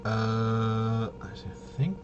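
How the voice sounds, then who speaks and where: Male tabletop gaming host, lowish pitch, halting off-the-cuff explainer delivery, noticeably noisy room tone. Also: fairly even intonation, lo-fi sound. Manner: halting, rambling, thinking aloud. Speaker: host of a tabletop RPG channel. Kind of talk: casual unscripted rules walkthrough.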